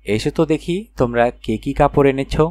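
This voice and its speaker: A man voicing a wily old king in a children's fairy tale, measured and commanding in his formal address. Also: medium pitch, darkish tone, dry recording, clean studio sound, lightly acted. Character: wily old king, reluctant to hand over his throne.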